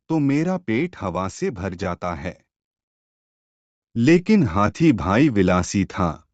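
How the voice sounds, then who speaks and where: Sly, self-righteous cartoon fox, played by a man: unhurried, explaining, close dry sound. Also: lowish voice, darkish tone, no room sound, lo-fi sound. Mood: smug, moralizing. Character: sly self-satisfied fox preaching restraint.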